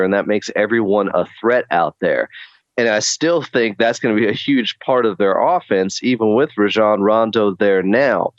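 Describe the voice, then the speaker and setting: A man's voice: on the low side, no room sound, highs cut off. A man who hosts radio sports shows, guesting on a podcast and breaking down basketball fluently. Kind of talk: casual sports podcast chat.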